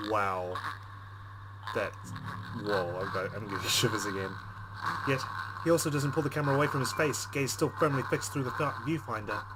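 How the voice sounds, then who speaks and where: Guy doing a let's play, reading visual novel narration out loud, expressive, music playing behind him. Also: mid-range voice.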